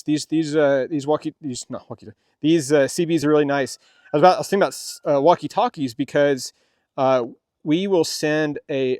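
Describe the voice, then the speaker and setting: A middle-aged man gives an unscripted product demonstration as a vlog host. His delivery is casual and meandering, with halting phrases that he often repeats as he goes. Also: a little high, dry recording, clean studio sound.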